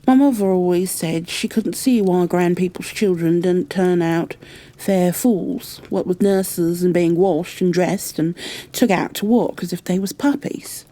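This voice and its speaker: A woman's voice: on the low side, unhurried, dark tone, natural voice. A woman voicing a blunt, good-natured young housemaid with a Yorkshire accent in a storybook reading. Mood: frank, matter-of-fact. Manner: blunt, chatty, plain-spoken.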